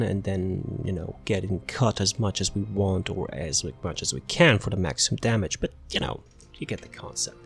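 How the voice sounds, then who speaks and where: A man casually walking through a game character build, halting often with fillers and restarts. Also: on the low side, gravelly, a little mellow. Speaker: gaming channel host.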